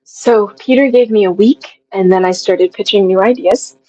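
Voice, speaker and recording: A woman's voice: medium pitch, moderate pace, mellow, dull audio. A woman in her twenties talking reflectively and casually as an interview guest, feeling her way through her thoughts. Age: 20s. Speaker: guest, audio drama writer.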